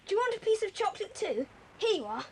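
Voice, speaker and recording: A woman's voice: very high voice, big pitch swings, quick pace, heavily acted. A woman playing a practical, bossy but caring child adventurer in a children's audio drama. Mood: practical, reassuring. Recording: slightly hissy 1980s cassette tape.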